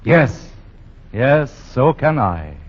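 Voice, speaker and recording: An actor playing a pompous, benevolent store owner in a 1940s radio play, formal and halting. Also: male voice, mid-range voice, big pitch swings, exaggerated voice. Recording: dull old radio broadcast.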